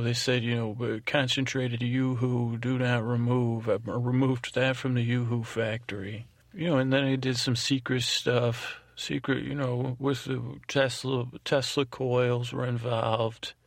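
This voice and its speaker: Guy podcast host w creaky gravelly voice, rambly bedtime talk, keeps trailing off, really dry close sound. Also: medium pitch, dry recording. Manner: meandering, halting, repetitive, trailing off. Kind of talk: rambling, improvised bedtime monologue.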